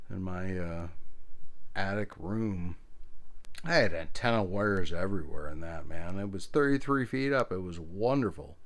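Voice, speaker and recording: Middle-aged american guy, lowish voice, dry close sound, rambling hobby talk, kinda self-deprecating. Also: animated, unhurried, dry recording. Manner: meandering, self-deprecating, informal. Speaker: hobbyist radio video presenter. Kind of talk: casual rambling explainer with anecdotes.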